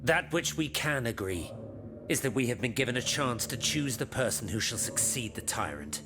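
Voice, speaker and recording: An actor playing a solemn statesman who presides over a revolutionary assembly, speaking in a formal, measured, oratorical way in a game. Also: mid-range voice, expressive, natural voice. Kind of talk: dramatic video game cutscene acting. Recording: music behind the voice.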